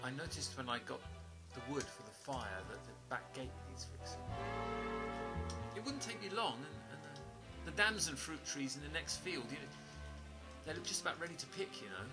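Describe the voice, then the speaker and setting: Actor as earnest male stranger, halting speech, high-pitched, pleading for trust, audio play, music underneath. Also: animated, natural voice, low-quality recording. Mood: gentle, hopeful. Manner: halting, repeating words, earnest. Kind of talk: audio drama acting.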